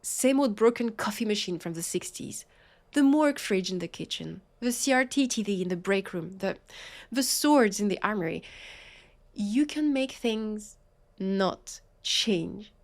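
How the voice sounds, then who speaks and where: A woman with a French accent playing a weary, sardonic vampire police officer in an audio roleplay, halting and exasperated. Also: mid-range voice, lively intonation. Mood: pleading, shocked. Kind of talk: scripted audio roleplay acting.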